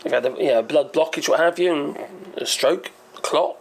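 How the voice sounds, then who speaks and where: Brief: hoarse male channel host, gravelly and slightly high, rambling and chatty. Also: moderate pace. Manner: rambling, hesitant, self-correcting. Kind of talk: casual explainer monologue.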